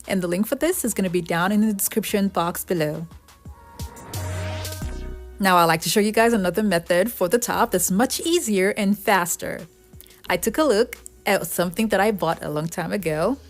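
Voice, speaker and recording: A woman giving an explanatory, step-by-step voice-over for a craft tutorial. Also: fairly low pitch. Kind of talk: scripted DIY tutorial voice-over narration. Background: background music.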